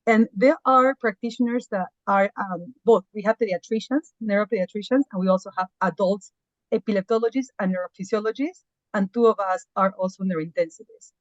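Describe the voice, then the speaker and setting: Woman doctor, earnest and halting, on a dull, dry line. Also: mid-range voice, dry recording. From Peru. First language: Spanish. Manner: earnest, with fillers and non-native phrasing. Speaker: physician guest. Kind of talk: podcast interview.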